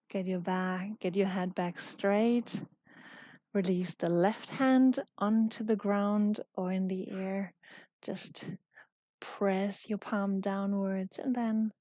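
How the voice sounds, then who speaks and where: A woman leading a guided yoga class as the teacher, calm and gentle, speaking in short instructions with long pauses. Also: medium pitch, no echo, muffled recording.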